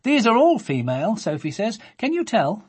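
A practical storybook mother, mild and reasoning, with a lively, wandering lilt, as a woman reads her. Her tone is breathy and soft-edged. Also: medium pitch, normal speed, voice pushed up. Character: practical mother of a young girl.

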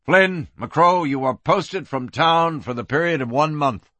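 A man's voice: mid-range voice, very animated, a little slow, fairly bright tone, no room sound. A man voicing a stern, unyielding lawman in an audiobook Western, giving curt, commanding orders.

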